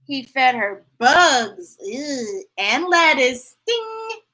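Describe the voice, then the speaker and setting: High, bright-voiced animated woman storyteller. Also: drawn-out words. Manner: playful, breaking into sing-song and sound effects; chatty, talking directly to young listeners. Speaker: children's story read-aloud host. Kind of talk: animated picture-book read-aloud with chatty asides.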